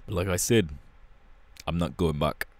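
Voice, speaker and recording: A man voicing a laid-back but irritable young punk superhero bassist, slangy and drawling, in an audio roleplay. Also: a little slow. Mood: irritated, defiant. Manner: slangy, casual, drawling with hesitations.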